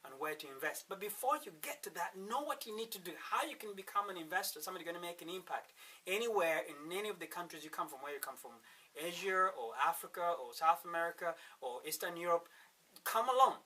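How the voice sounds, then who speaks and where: Bright-voiced Ugandan man, recorded at a distance. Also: high voice, animated, fast. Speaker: wealth-creation workshop speaker. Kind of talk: motivational sales pitch to camera.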